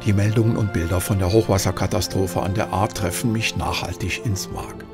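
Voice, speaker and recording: A man reading scripted documentary narration in a formal, earnest, measured manner. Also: low voice, fairly even intonation. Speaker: narrator and filmmaker. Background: background music.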